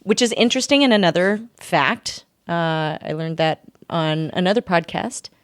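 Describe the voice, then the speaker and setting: A woman podcast host with a smooth, clear voice in a close, dry recording. She chats casually and tells her story in an informal, joking manner. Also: on the low side, normal speed, dry recording. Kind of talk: casual chat with storytelling from notes.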